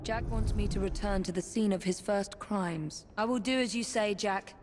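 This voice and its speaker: A woman's voice: medium pitch, normal speed, noisy background, lightly acted. A woman playing a determined, vengeful young assassin in a video game, with an English accent and a grave, resolute delivery. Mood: grim resolve. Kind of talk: video game cutscene acting.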